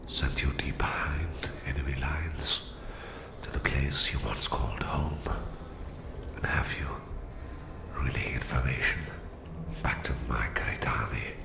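A man voicing a menacing, gloating Russian villain who captures his listener, in a slow, sinister ASMR roleplay whisper with a gravelly voice. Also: steady tone, noisy background, full character voice. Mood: coaxing menace. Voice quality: very deep, gravelly, breathy whisper. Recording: roomy, echoing voice.

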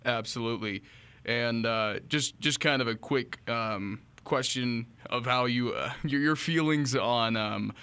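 A man's voice: mid-range voice, measured pace, pushed and bright, smooth. A young man hosting a casual sports interview, speaking into a close studio microphone. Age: young adult. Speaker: podcast host. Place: small home-style studio room. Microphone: close studio mic on boom arm, headphones.

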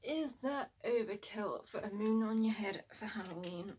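A woman's voice: medium pitch, animated, moderate pace, a little mellow, slight background noise. A woman, chatting casually and informally to camera as a sewing vlogger in a small room at home.

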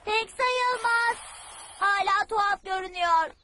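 A woman voicing a small, devoted, fussing cartoon bat with a squeaky, drawn-out delivery. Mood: doubtful, loyal. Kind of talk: animated cartoon dub. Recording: loud music behind dialogue.

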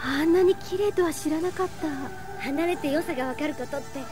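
An actress playing an emotional, excitable teenage girl in a Japanese audio drama. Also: sits high, fairly quick, over music, natural voice. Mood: wistful.

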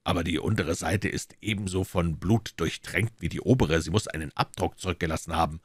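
A man voicing a cool, commanding detective in an audiobook, speaking low and measured with quiet authority. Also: husky, no room sound, studio quality. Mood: explaining. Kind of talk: audiobook character voice.

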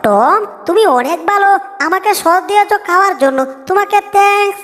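A woman's voice: extremely high pitch, lively intonation, a little fast, a bit bright. A woman voicing a small, cheeky cartoon mouse with a chirpy, exclaiming manner. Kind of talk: Bengali cartoon dub comedy. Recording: long hall-like reverb.

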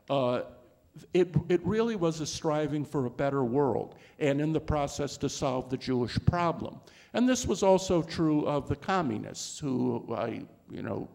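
A man's voice: mid-range voice, creaky, darkish tone. A man in his sixties, a scholar on a panel, speaking into a handheld mic on a lecture hall stage, thoughtful and full of restarts.

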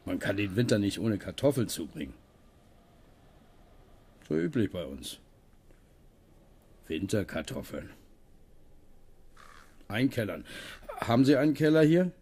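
An actor playing a kindly, practical farm tenant in a German radio play, talking in a coaxing, homely way. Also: male voice, fairly low pitch, big pitch swings, a little slow, long pauses, a little mellow, breathy edge, full character voice. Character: plain-spoken middle-aged male farm tenant, kindly, practical.

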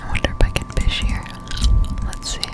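A young woman whispering breathily up close to the mic, giving rambling ASMR gameplay commentary as she muses to herself. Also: a little fast. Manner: rambling, musing aloud to herself. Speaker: gameplay streamer. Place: indoors at a home computer. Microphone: close-up desktop condenser mic.

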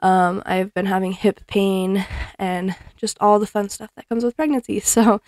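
A woman's voice: mid-range voice, steady tone, a little slow, a little mellow, dry recording. A young woman vlogging casually from her living room while folding laundry, chatting with frequent ums and little laughs, picked up by a camera set up across the room. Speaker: cleaning vlogger. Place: living room at home, indoors. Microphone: fixed camera across the room.

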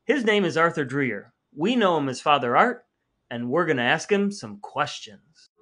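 A man's voice: a little high, very animated, normal speed, a bit bright. A man hosting a casual podcast, chatting and addressing the listeners. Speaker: podcast host. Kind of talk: casual podcast banter and sign-off.

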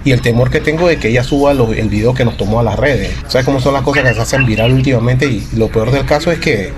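A Panamanian radio host, a man, makes a prank call at a quick pace. He rambles on confidingly with mock earnestness over a clearly noisy broadcast line. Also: mid-range voice. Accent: Panamanian Spanish. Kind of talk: prank phone call, conversational.

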